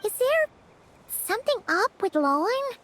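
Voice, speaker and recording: A woman's voice: very high voice, big pitch swings, measured pace, a bit bright, a little hiss or hum, heavily acted. A woman voicing a tiny, curious, childlike fairy companion in a video game. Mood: curious, concerned.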